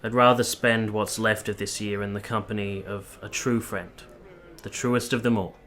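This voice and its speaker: An actor playing an earnest, tender young officer in a radio play, speaking and narrating in a measured, sincere, literary way. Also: male voice, lowish voice, fairly even intonation, a bit bright, lightly acted. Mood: earnest, gentle.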